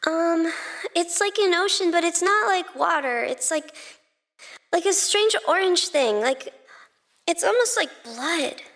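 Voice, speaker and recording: A woman voicing a meek, nervous seven-year-old boy who speaks haltingly in a story reading. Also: very high-pitched, animated, a bit bright, full character voice. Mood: troubled, hesitant. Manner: halting, timid, stammering. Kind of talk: dramatized fan fiction reading.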